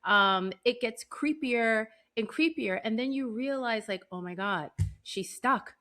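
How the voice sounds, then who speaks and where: A woman with a fairly high voice hosts a casual podcast interview. She talks informally and chattily, with open enthusiasm, in a loose conversational style. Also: measured pace, bright, forceful tone.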